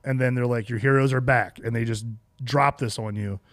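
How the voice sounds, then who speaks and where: A man's voice: medium pitch, fairly even intonation, fairly quick, no room sound. A man co-hosting a podcast, chatting casually about games in a gushing, slangy fan style. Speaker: podcast co-host.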